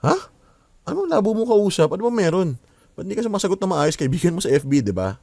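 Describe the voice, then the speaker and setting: A man's voice: high-pitched, very animated, fast talker, mellow, dry recording, exaggerated voice. An actor playing a curious, pushy young man in a radio drama, with an inquisitive, pressing way of talking. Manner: inquisitive, pressing, casual Taglish.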